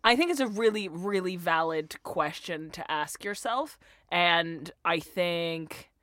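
A bright, forward-sounding young woman from Russia talks casually as a podcast guest, quick to mock herself and leaning on "like" as a filler. Her voice sits close and dry on a studio mic. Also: fairly low pitch, expressive, no room sound. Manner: chatty and self-mocking, frequent "like" fillers. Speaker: comedian guest.

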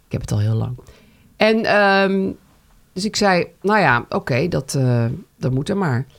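A woman's voice: on the low side, animated, a little slow, creaky. Chatty Dutch-raised woman telling a story. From Netherlands. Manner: chatty storyteller, many fillers and restarts. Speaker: podcast co-host. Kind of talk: casual chat.

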